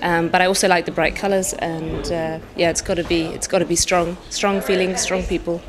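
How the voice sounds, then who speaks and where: A woman's voice: fairly low pitch, little pitch movement, a little fast, a crowd in the background. A woman painter talking hesitantly about her work, stressing key words. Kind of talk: interview answers. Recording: music playing underneath.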